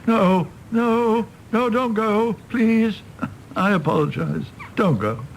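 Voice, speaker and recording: A man acting a tipsy, bitter, apologetic middle-aged British doctor in a radio drama, rambling and halting in a gravelly voice. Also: high voice, expressive, slight background noise, heavily acted. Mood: pleading, apologetic. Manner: rambling, halting, swinging from ranting to meek.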